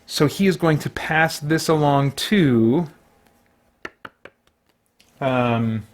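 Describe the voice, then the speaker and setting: A man's voice: on the high side, very slow. A man hosting a solo tabletop game, talking casually and thinking aloud in a rambling way as he plays. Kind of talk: casual game play-through commentary.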